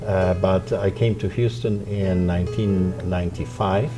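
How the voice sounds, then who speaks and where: Man with a Polish accent, fairly low voice, measured and reflective, music playing behind. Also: fairly even intonation. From Poland. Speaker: violin professor and orchestra co-founder. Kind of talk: interview answers.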